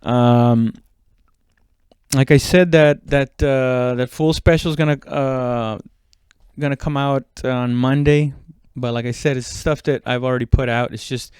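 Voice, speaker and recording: Clear-voiced man rambling casually. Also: medium pitch, steady tone, darkish tone, no room sound, clean studio sound. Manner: informal, halting, heavy swearing. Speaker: stand-up comedian hosting own podcast. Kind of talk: casual rambling solo chat.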